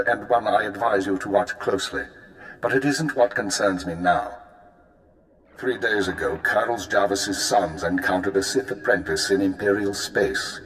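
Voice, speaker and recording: An actor playing a calm spymaster handler briefing an agent in a video game, with a measured, controlled delivery. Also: male voice, low-pitched. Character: calm male spymaster handler giving orders. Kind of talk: video game cut-scene acting. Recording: roomy echo.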